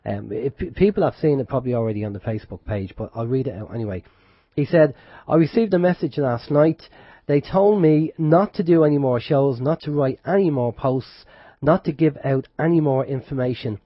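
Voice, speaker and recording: A man's voice: mid-range voice, brisk, no room sound. A man hosting an internet radio show in casual chat, with a head cold and sore throat. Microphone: close studio microphone. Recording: dull lo-fi internet radio stream.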